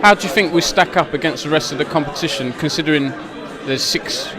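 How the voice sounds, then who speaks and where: A man's voice: fairly high pitch, a little fast. A man interviewing players at an event, asking friendly, rambling questions. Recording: noisy crowd behind. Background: crowd chatter.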